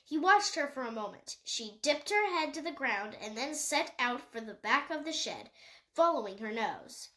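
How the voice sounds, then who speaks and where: A woman's voice: high-pitched, very animated, normal speed, sharp tone. A woman reading a children's animal novel aloud as scripted storybook narration. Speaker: audiobook reader.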